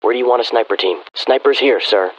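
An actor playing a confident American special forces soldier in a military video game, with terse, self-assured delivery. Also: male voice, mid-range voice, quick pace, a little hiss or hum, close to an everyday voice. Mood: cool, confident. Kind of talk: military game unit acknowledgements. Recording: slightly muffled radio-style game audio.

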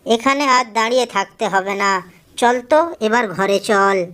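Children's cartoon heroine, woman performer as a caring little female bird, high voice, earnest and emotive. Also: measured pace, fairly bright tone, smooth. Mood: affectionate. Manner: earnest, emotive storybook delivery. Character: caring, earnest young female bird, two devoted sisters.

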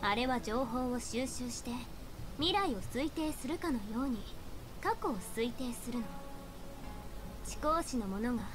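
A woman voicing a proud, brisk, learned young female diviner in an anime-style game, with a formal, lecturing manner. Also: on the high side, lively intonation, music in the background. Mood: explanatory.

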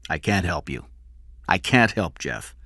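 Audiobook role: unyielding male employer acted by a man, terse, strained, lively intonation, dry sound. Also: low voice, measured pace, vocal fry, slightly rough, no room sound, heavily acted. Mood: cold refusal. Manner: curt, refusing. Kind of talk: audiobook character acting.

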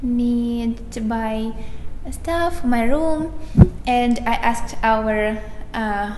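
Young russian-accented woman, bit high voice, talks slow and animated, echoey room with hiss. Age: young adult. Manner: animated, laughing, gestures a lot. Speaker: international student. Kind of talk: casual interview. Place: college lounge by large windows. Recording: echoey room with long reverb and faint hiss.

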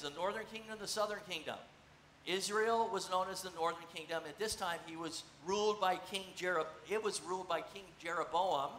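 A middle-aged man preaching a casual, conversational sermon from a church stage, animated and gesturing as he teaches. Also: high voice. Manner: animated, conversational, gesturing while seated on a stool. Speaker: pastor. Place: church stage, large room. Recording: echoey church room, faint noise.

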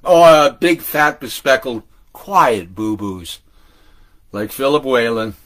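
An older man delivers a dramatic monologue as a beat-era storyteller. His voice is bright and fairly high for a man, and he takes his time, halting and stretching words with animated flair. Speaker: solo performer.

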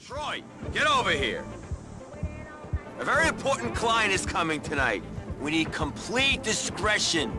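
An actor playing an irritable, flamboyant middle-aged nightclub owner, theatrical and dismissive. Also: male voice, high voice, very animated, a little slow, over music. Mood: brisk, annoyed.

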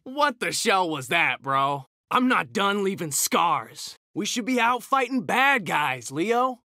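A man's voice: high voice, very animated, slow pace, bright, forceful tone, no echo, studio quality, full character voice. A man voicing a cocky, hot-tempered young mutant ninja turtle, trading brash, slangy taunts in a fighting video game. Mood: brash, combative. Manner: brash, slangy trash talk.

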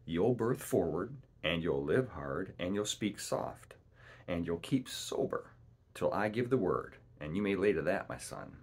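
A scheming, menacing pirate ship's cook as a male narrator plays him, husky and swinging widely in pitch. He talks in boastful, rustic seafaring turns, wheedling and bossing like a storybook villain. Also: big pitch swings, unhurried, exaggerated voice. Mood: stern, commanding.

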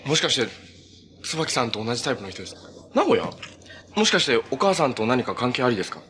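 A man's voice: mid-range voice, sing-song, fast talker, fairly bright tone. An actor playing an easygoing, kind young male hero in a TV superhero drama, speaking in a friendly, earnest, casual way. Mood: casual, upbeat. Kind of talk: naturalistic Japanese TV drama acting. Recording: TV drama audio with noticeable background noise.

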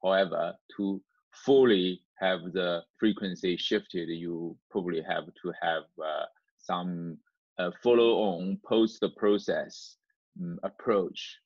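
Husky low-voiced man lecturing haltingly. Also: fairly even intonation, a little mellow. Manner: halting, hesitant explanations. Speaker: university lecturer. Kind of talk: unscripted online tutorial lecture. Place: indoors, online class via screen share. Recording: dull, roomy video-call audio.

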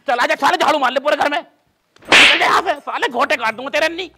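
A man playing a hot-tempered, blustering village father in a comic cartoon skit, with a rural western Uttar Pradesh accent and a pitched-up voice. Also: fast talker, heavy background noise. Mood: angry, scolding. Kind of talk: comic skit acting in rustic dialect.

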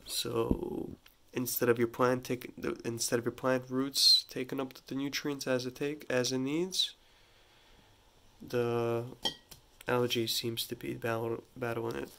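Male host, stuffy from a cold, flat even delivery, relaxed halting how-to talk over a faint running tap. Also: medium pitch, gravelly, a little hiss or hum. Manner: relaxed, halting, with restarts and self-corrections. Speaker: hydroponics gardening channel host. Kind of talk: casual how-to demonstration. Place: home kitchen at a running sink. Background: running tap water.

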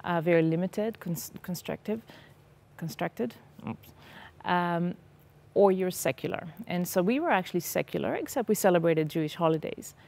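A woman's voice: lowish voice, expressive, moderate pace, gravelly, darkish tone. Earnest woman, hesitant speech. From Israel. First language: Hebrew. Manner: earnest, hesitant, many ums. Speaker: guest. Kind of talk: personal testimony in a TV interview. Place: TV studio set. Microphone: lapel.

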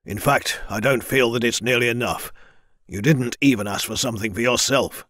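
A man voicing a stern, powerful lord who speaks in a measured, formal way. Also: mid-range voice, fairly bright tone, dry recording, studio quality, natural voice.

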